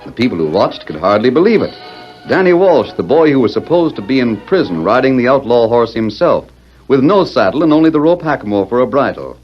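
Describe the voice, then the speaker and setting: A man narrates an old radio western in a medium-pitched, animated voice. His delivery is formal and dramatic, scripted storytelling through and through. The recording is muffled and noisy. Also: a little fast, faint music behind.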